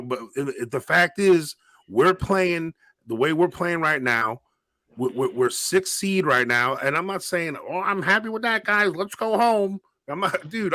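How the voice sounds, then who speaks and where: A man's voice: a little high, fairly bright tone, dry recording. A man in his forties co-hosting a casual sports debate, arguing his point and repeating himself to drive it home. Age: 40s. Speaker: podcast co-host.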